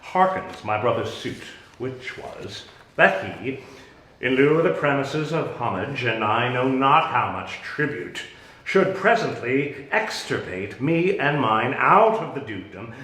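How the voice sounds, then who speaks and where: Man acting a wronged exiled duke, bitter, commanding, Shakespearean stage delivery, very slow, echoey room. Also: medium pitch, sing-song, pushed and bright. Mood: grave, resentful. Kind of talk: Shakespearean dramatic reading.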